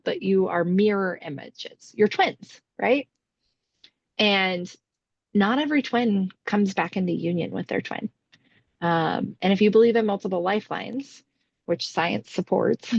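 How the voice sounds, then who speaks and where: A woman co-hosting a podcast, explaining things casually with emphatic, deliberate lists. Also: lowish voice, creaky, slightly rough, no echo, muffled recording. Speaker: podcast co-host.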